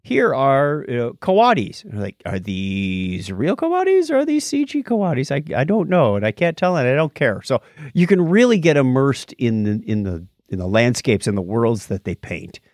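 A man co-hosting a podcast, chatting casually and recounting things in a rambling, animated way. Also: medium pitch, moderate pace, hardly any pauses, dry recording, studio quality. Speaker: podcast co-host. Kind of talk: casual podcast chat, recounting a nature documentary.

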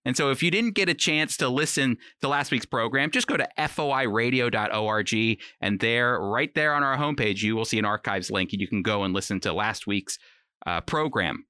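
A man's voice: medium pitch, brisk, sharp tone, clear, resonant tone, dry recording, studio quality. A man hosting a radio program, teaching in an earnest, encouraging, conversational way and speaking directly to listeners. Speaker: radio host and Bible teacher. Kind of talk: conversational Bible teaching and commentary. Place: remote home recording, dry.

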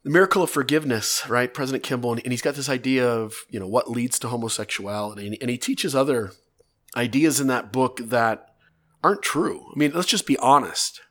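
A man's voice: mid-range voice, a little fast, a bit bright. A man with a slight cold, making an earnest case in casual talk, piling up points in long lists and checking in with "right?". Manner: earnest, building arguments in long lists, frequent rhetorical "right?". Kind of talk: casual talk to camera or podcast.

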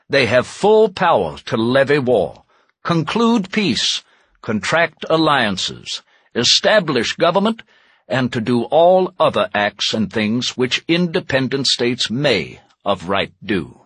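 Man playing a stately, husky statesman in lively oratory. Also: medium pitch, dry recording, exaggerated voice. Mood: resolute, solemn. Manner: oratorical, solemn, deliberate phrasing with weighted pauses. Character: Thomas Jefferson, stately 18th-century American statesman, indignant and resolute. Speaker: historical interpreter. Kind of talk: formal dramatic recitation of a historical document.

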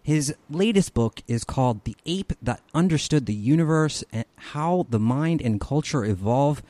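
A male podcast host introduces his guest and asks questions with eager energy, his voice climbing as he gets excited. His pitch sits a touch high. The recording is dry, with no room sound. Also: moderate pace, dry recording. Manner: enthusiastic, rising into excited questions. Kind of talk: podcast interview.

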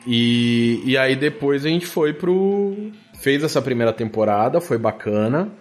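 A man's voice: mid-range voice, animated, unhurried, soft background music. A man with a Brazilian accent talking casually as a podcast guest, informal, a bit sweary and self-deprecating. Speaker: podcast guest, audio drama producer. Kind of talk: casual chat.

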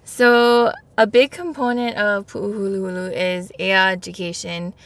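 A woman's voice: mid-range voice, steady tone, unhurried. A woman interviewer asking short, prompting questions in a friendly exchange.

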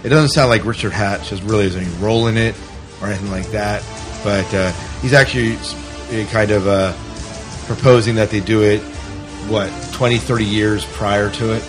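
A man's voice: fairly low pitch, steady tone, moderate pace, over music. A man co-hosting a podcast, chatting casually about sci-fi news. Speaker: podcast co-host.

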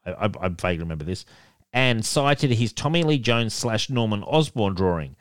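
A man's voice: fairly low pitch, sing-song, normal speed, clean tone, no room sound, studio quality. A man with a nasal voice co-hosting a casual podcast, reading listener emails and chuckling often. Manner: laughs often, chuckling between remarks. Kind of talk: casual chat.

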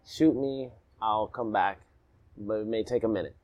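A man's voice: fairly low pitch, moderate pace, a little mellow, natural voice. A man voicing a fierce, reckless male superhero who makes blunt, defiant threats.

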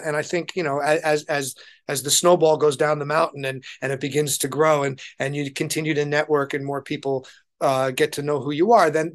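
A man being interviewed as a touring musician, telling anecdotes conversationally and often repeating words as he thinks aloud. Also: mid-range voice.

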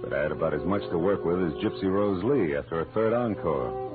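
A man's voice: deep voice, expressive, fairly quick, music in the background. An actor playing a wry, hard-boiled private detective in a 1940s radio drama, with a clipped tough-guy delivery on a muffled old recording.

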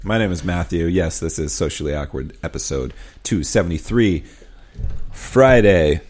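A man's voice: low-pitched, animated, normal speed, a little mellow. A man co-hosting a podcast, casual and loose, tripping over his words. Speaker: podcast co-host. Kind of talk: casual chat.

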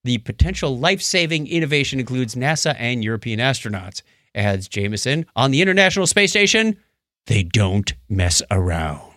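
A man hosts a casual talk show with a lively, swinging delivery. He retells news items with wry asides and banter. His mid-pitched voice is recorded dry, with no room sound. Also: normal speed, dry recording. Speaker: podcast host.

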